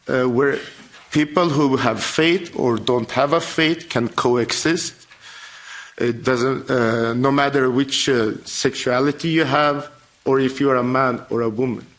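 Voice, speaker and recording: A man's voice: fairly high pitch, a bit bright. A man from Iran, a member of parliament, giving an earnest, emphatic address at a formal event, with phrasing.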